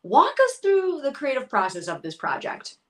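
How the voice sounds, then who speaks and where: A woman's voice: sits high, huge pitch swings, fairly bright tone. A woman hosting a casual interview, upbeat and chatty. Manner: upbeat, chatty, quick to agree. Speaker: podcast host.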